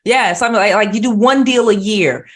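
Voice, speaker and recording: A woman hosting a relaxed interview at a middle pitch. She talks in a loose, chatty way, restarting phrases and checking in with her guest. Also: brisk. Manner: conversational, frequent restarts and "you know what I mean". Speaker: host. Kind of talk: casual interview chat.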